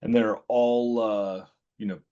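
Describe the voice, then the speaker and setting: A man's voice: lowish voice, fairly even intonation, takes it slowly, dark tone, clean tone. A man moderating a webinar, relaying questions in a friendly, lightly joking way. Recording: dull lo-fi audio.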